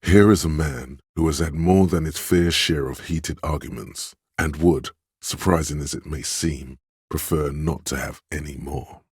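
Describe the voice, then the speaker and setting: A man's voice: a bass voice, measured pace, breathy edge, no room sound, studio quality, exaggerated voice. A man playing a commanding, pompous inner voice of authority in a video game, speaking in stern, declamatory pronouncements. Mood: stern, judging. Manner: declamatory, imperious, clipped pronouncements. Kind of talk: scripted video game narration and voice acting.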